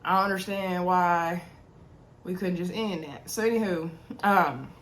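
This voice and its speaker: A woman's voice: fairly low pitch, a little slow, slight background noise. Congested young woman recapping a TV show. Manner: chatty, addresses viewers as y'all, many ums. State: sick and congested, sore throat. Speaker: TV recap host. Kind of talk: casual chat recapping a reality episode.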